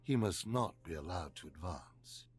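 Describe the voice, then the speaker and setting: Grave, husky older Sith overseer. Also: male voice, low-pitched, expressive, full character voice. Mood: grave, scheming. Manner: measured, formal, grave. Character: stern, scheming older male Sith overseer and father. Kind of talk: scripted video game dialogue.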